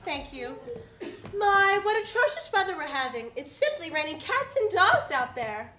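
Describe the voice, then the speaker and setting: A woman's voice: extremely high pitch, sing-song, moderate pace, pushed and bright, roomy sound, a little hiss or hum, exaggerated voice. A woman playing a pampered, snobbish society woman, with an affected and theatrical drawl. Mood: haughty.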